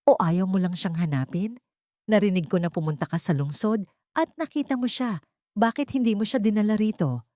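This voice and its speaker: A woman's voice: mid-range voice, expressive, moderate pace, dark tone, no room sound, studio quality, voice pushed up, close to an everyday voice. A woman narrator with a Filipino accent voicing a stern, accusing male village captain in an audiobook. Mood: taunting. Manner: commanding, taunting. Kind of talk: audiobook character dialogue.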